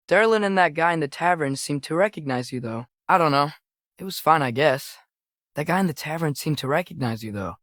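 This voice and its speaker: A man's voice: fairly high pitch, dry recording, lightly acted. Young guy voicing a teen boy hero in a video game, eager n curious, bit unsure of himself, natural delivery, really dry sound. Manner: tentative, eager, questioning. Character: earnest, curious teenage boy, young god adventurer.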